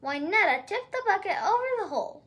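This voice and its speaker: A woman reading a children's story aloud in an expressive storytelling style. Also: very high voice, brisk. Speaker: storybook reader.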